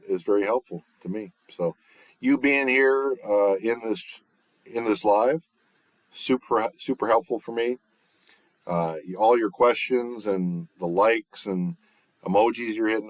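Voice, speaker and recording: A man with a bass voice chatting casually on his livestream, relaxed and rambling with lots of fillers and restarts. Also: dry recording. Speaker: livestreamer and recording artist. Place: home, off-grid.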